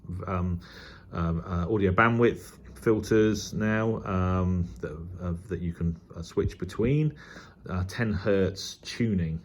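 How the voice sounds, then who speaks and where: British guy with a low voice doing a casual gadget unboxing, kinda rambly and halting. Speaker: radio hobbyist vlogger. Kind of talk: casual unboxing and review talk.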